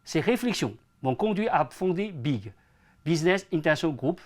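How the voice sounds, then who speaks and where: Man, forties, from China and France, slightly high voice, interview guest, earnest, measured, prepared formal delivery. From born in China, grew up in France from age ten. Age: 40s. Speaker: interview guest, entrepreneur and association president.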